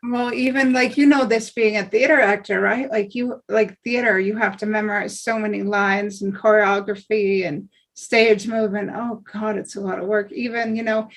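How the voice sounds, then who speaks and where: A woman talking with real passion, her phrases tumbling out in quick run-on bursts. Her voice is middle-pitched with a gravelly, fry-tinged edge. She is chatting casually over a video call. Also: fairly even intonation. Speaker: theater actor in a table read. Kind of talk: casual panel discussion.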